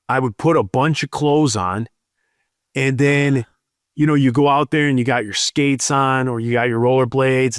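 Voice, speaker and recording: A man's voice: mid-range voice, no room sound, studio quality. Rambling man on a podcast. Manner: rambling storytelling with fillers. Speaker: co-host. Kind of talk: casual podcast chat.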